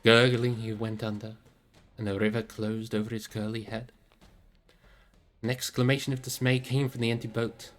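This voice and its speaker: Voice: mid-range voice, little pitch movement, normal speed. A live streamer reading a fantasy novel aloud as narrator, in a storybook narration style.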